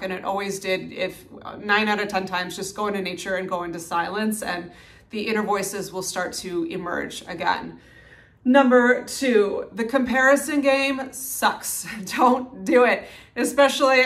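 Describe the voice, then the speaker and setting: A young woman talking casually to the camera about her channel, recorded on her phone. She has a loud, projecting voice and moves between calm and lively stretches. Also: medium pitch. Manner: loud, animated, strong projection. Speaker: minimalist YouTuber. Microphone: phone mic.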